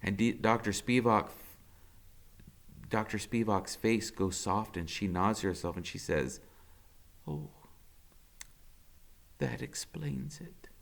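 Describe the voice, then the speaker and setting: A man, a teacher, reading a novel aloud with expressive first-person storytelling. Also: fairly low pitch, a little slow.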